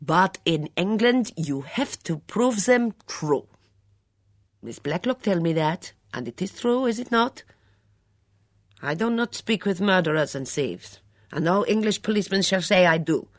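A fiery, indignant foreign cook, put on by a woman reader who talks in halting broken English and hammers her words by repeating them. She is pitched high and loud, with a husky grain and a dry, close sound. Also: big pitch swings, dry recording, heavily acted. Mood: contemptuous.

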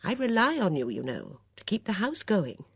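Audiobook role: composed, no-nonsense older lady read by a woman, animated inflection, dry intimate recording. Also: medium pitch, moderate pace, breathy edge, no echo, voice pushed up. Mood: soothing, firm. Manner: firm, matter-of-fact, soothing. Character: composed, sensible, brisk older lady of the house. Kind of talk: audiobook character dialogue.

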